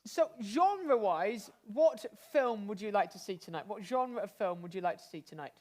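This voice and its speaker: British male host for live improv comedy. Very high-pitched, excitable, rambling and effusive. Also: normal speed. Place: indoors, live online show.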